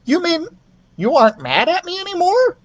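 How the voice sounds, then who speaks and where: A man voicing an eager, impulsive young male mouse in an excitable, earnest storybook read-aloud. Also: very high-pitched, moderate pace, highs cut off, pitched above the performer's own voice, exaggerated voice. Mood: amazed.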